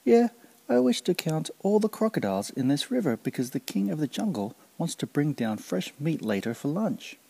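A man voicing a small, clever male mouse-deer trickster who talks high and excited. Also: unhurried, vocal fry, slightly rough, full character voice. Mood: cheeky.

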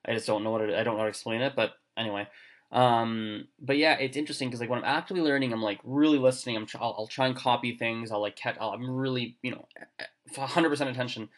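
A man hosting a casual chat, talking in quick bursts full of fillers and restarts. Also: mid-range voice, steady tone. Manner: chatty, lots of fillers and restarts. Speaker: podcast host. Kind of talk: casual conversation.

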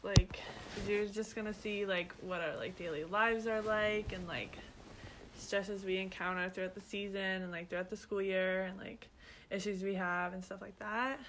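A woman's voice: mid-range voice, steady tone, moderate pace, fairly bright tone, a little hiss or hum, rough recording. A young woman from Canada co-hosting a podcast, chatting nervously with many "um"s and "like"s. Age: young adult. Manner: nervous, giggly, heavy use of like and um. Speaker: podcast co-host. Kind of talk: casual chat.

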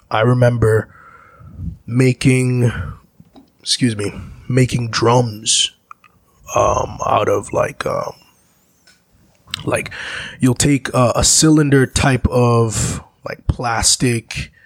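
A gravelly, slightly husky man from Zambia talks as a guest in an interview. He rambles through his memories in a reflective way, and his lowish voice sounds dry and close. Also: very slow, pauses often, no room sound. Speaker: musician guest. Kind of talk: casual interview talk.

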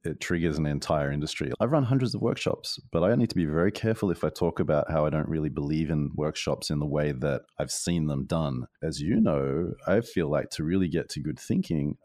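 A middle-aged man with a deep voice hosting an interview in a casual, talkative, self-deprecating way. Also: darkish tone, no echo, studio quality. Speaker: podcast host.

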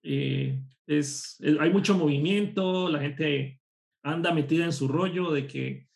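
A man from Costa Rica, a programmer and course instructor, telling lively personal stories as a guest in a casual interview. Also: a little high, slow, vocal fry. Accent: none, a neutral Spanish. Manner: lively anecdotal storytelling.

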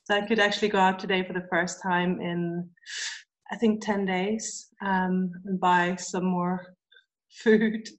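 A woman from Sweden, a guest in a casual chat over a remote call, speaking in a relaxed way with soft laughs and hesitant ums. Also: fairly low pitch, unhurried, poor audio.

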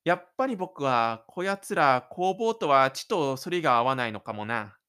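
A man playing a shy, stubborn high-school boy who boasts scornfully and defensively in his inner monologue. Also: lively intonation, moderate pace, sharp tone, clean tone. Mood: scornful, cocky. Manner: cocky, defensive, scornful muttering. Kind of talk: inner-monologue radio drama acting.

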